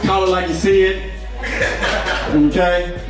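A young man performing a stand-up comedy set on a club stage into a handheld mic, punchy and animated, shouting some lines. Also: high-pitched, takes it slowly, loud music behind the voice. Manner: loud, animated, punchy delivery with shouted bits. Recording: echoey club heard from far off. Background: crowd laughing.

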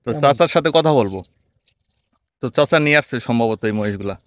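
A man's voice: mid-range voice, lively intonation, fast talker, a bit bright, no echo. A man, vlogging outdoors in a rural field, chatting and asking short questions. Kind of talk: casual vlog narration and interview.

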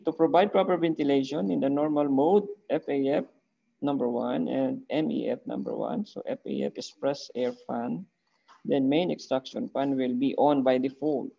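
A man's voice: mid-range voice, gravelly, warm tone. A middle-aged man hosting his channel, methodically walking through a screen-shared project and checking in with "okay?" as he goes. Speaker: channel host demonstrating an electronics project. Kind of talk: screen-share technical walkthrough. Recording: dull, muffled lo-fi audio.

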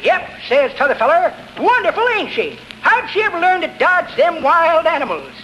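A man's voice: very high-pitched, sing-song, pushed and bright, exaggerated voice. A man playing a cheerful, folksy old rascal with a thin voice and rustic dialect in a 1940s radio comedy. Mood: cheerfully folksy. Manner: rustic dialect, drawn-out tall-tale telling. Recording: muffled, noisy 1940s radio recording.

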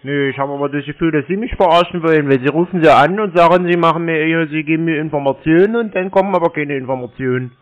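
Deadpan man, highish voice, phone sound. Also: quick pace, few pauses. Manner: deadpan, terse, stonewalling. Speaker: scam-baiter answering cold calls. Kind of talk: casual phone talk. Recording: thin, band-limited phone call sound.

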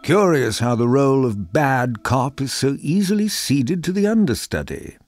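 An actor playing a dignified older butler in an animated comic, formal and dryly witty. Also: male voice, medium pitch, normal speed, faint music behind. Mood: droll. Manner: dry, formal, wordy. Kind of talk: animated motion comic acting.

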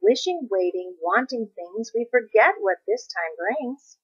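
Female storybook reader, higher register, unhurried singsong delivery of children's verse, slightly dull lo-fi recording. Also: lively intonation, husky. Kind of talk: expressive children's read-aloud of rhyming verse.

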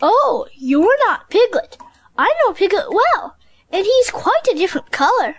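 Young boy as acted for storybook audio play: very high, animated, innocent and matter-of-fact. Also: measured pace, full character voice. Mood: calm certainty. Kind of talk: children's storybook audio drama.

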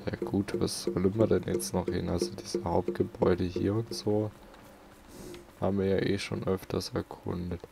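A man's voice: deep voice, level tone, normal speed, creaky, noisy background. A man giving casual gameplay commentary as a let's play host, muttering to himself.

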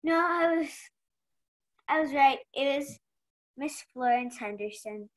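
A young woman playing an eager, flustered rookie detective in a radio play, halting and unsure but insistent. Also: sits high, animated. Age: young adult. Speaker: actor in a youth theatre radio play.

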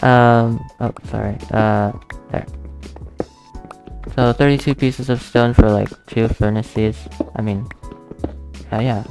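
Slow, hesitant young man with a gravelly edge. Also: mid-range voice, steady tone. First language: not English. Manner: hesitant, thinking aloud, counting under his breath, nervous laughs, easily spooked. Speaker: gaming channel host. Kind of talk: casual let's play commentary. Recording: faint background music under the voice. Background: soft background music.

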